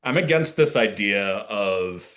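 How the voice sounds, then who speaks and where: A man speaking casually as a playwright and actor being interviewed, earnest, with halting phrasing and frequent use of "like". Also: fairly low pitch, lively intonation, a bit bright.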